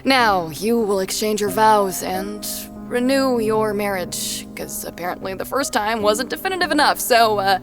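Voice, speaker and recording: An actress playing an awkward, flippant wedding officiant in an audio drama, rambling and deadpan with hesitant delivery. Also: female voice, mid-range voice, moderate pace, hardly any pauses, close to an everyday voice. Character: awkward, flippant woman officiating a vow renewal. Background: prominent music.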